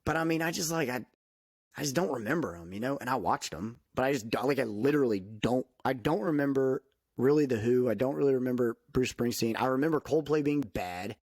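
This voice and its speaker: A man's voice: medium pitch, animated, brisk, darkish tone, no room sound, studio quality. A young man hosting a casual podcast chat, rambling with lots of fillers and restarts. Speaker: podcast host.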